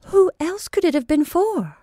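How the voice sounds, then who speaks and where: A woman voicing a gentle, hesitant widow. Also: very high-pitched, expressive, moderate pace, no echo, studio quality, pitched above the performer's own voice. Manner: hesitant, gentle, sometimes breathy. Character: gentle middle-aged woman, shy and soft-spoken.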